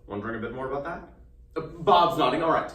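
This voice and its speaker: A man's voice: medium pitch, quick pace, a bit bright, close to an everyday voice. A man performing a theatrical solo monologue as a needy, troubled teenage boy magician who delivers showman patter and swings abruptly between coy and explosive. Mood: confiding, eager. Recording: roomy, distant mic, faint noise.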